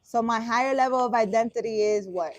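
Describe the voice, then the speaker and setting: Sarcastic, exasperated woman with a high voice. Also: no echo. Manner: halting, restarting sentences. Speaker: spiritual vlogger. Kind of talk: reading a dream interpretation aloud and commenting.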